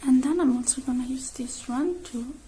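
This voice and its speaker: A woman speaking softly and whispering in an ASMR roleplay, gentle and hushed, drawing out her phrases with pauses. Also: high-pitched, heavy background noise.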